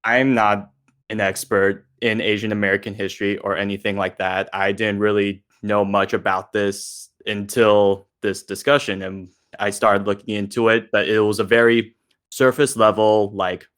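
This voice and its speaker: A man with a fairly low voice moderates a relaxed discussion. He talks informally and appreciatively, as a host addressing his guests.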